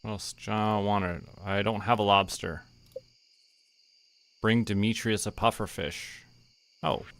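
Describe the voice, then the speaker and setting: Low-voiced man streaming a game. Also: steady tone, slow, pauses often, a bit bright, slight background noise. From Canada. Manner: casual, talks to himself and to game characters. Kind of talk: relaxed game stream commentary, thinking aloud.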